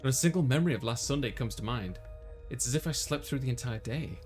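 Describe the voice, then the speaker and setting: A man's voice: medium pitch, fast talker, soft background music. A man reading a visual novel aloud as a casual first-person narration for a playthrough.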